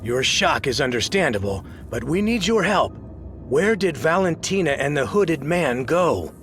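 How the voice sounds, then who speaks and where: An actor playing a resolute, earnest detective in a video game, with a purposeful, theatrical delivery. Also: male voice, fairly high pitch, sing-song, unhurried, fairly bright tone, slight background noise. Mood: urgent. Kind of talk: video game cutscene acting.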